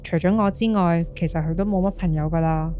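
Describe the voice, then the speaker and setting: Woman playing earnest young female storyteller, Hong Kong Cantonese accent, slightly low voice, scripted ghost-story monologue, faint background noise. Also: steady tone, a little fast, mellow, natural voice. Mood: uneasy, reflective. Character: earnest young woman recounting her friend's eerie experience.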